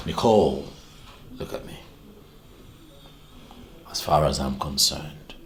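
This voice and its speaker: A man's voice: extremely low pitch, bright, forceful tone, heavily acted. An actor playing an earnest husband in a film drama, soothing and pleading, repeating his words insistently. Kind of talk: naturalistic film drama acting. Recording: roomy echo, faint background music.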